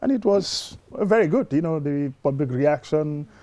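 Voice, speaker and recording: A man with a Gambian accent talking through sports matters in an explanatory, measured, conversational way, as a journalist on a talk show. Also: a little high, lively intonation, mellow, no echo.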